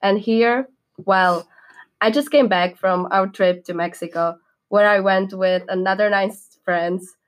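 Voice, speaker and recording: A woman's voice: mid-range voice, steady tone, unhurried. Young woman, Czech accent, upbeat student guest, easy laugh. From Czech Republic. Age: young adult. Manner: upbeat, laughs often, careful non-native English. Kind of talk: casual podcast chat.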